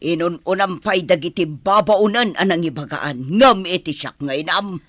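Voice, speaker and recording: A stern, disapproving matriarch played by an actress in a radio drama, with a sharp, forceful voice. The recording is very dry. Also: female voice, on the low side, moderate pace, dry recording. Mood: indignant, stern. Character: stern, disapproving wealthy mother, mistress of household. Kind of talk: Ilocano radio comedy drama acting.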